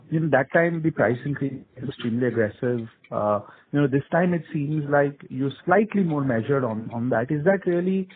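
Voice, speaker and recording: Guy analyst calling into earnings call, halting, repeats words, middling pitch, thin phone audio. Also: phone-quality sound. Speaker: analyst caller. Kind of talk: asking questions on an earnings call.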